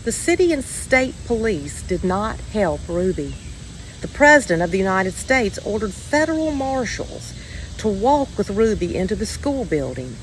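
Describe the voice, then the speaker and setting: A woman reading a children's story aloud in a measured, expressive way with deliberate pauses. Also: medium pitch, a little hiss or hum, rough recording. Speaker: storybook reader.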